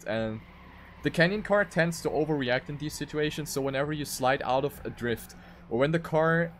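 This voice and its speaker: A man's voice: on the high side, slight background noise. A man doing live esports commentary on a racing game, with dramatic, emphatic phrasing.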